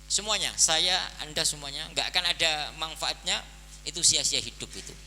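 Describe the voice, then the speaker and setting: A man in his forties gives a slow, measured sermon to his students. His voice is very bright and carries a long hall reverb. A faint hum sits behind him. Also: a little high. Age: 40s. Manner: slow, measured preaching with the repeated filler "nah, ini". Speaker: head of an Islamic boarding school. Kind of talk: birthday speech and sermon to students.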